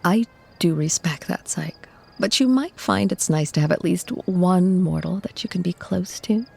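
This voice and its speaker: A woman acts as a tender vampire lover, protective and soothing. Her voice is very breathy and fairly low, with creaky edges, delivered in a measured, intimate ASMR roleplay style. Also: a little mellow, heavily acted. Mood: calm, earnest. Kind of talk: ASMR audio roleplay acting.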